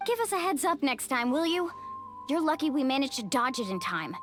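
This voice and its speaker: A plucky young game adventurer with an extremely high voice. She is candid, sassy and caring in a casual, expressive way, with clear music behind her. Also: fairly quick. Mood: miffed teasing. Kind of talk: video game voice acting.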